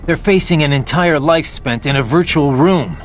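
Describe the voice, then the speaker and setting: An actor playing a grim, weary, duty-bound cyborg soldier in a video game, with a gravelly voice and brooding, terse manner. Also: male voice, on the high side, moderate pace, soft background music, close to an everyday voice. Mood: serious, wry.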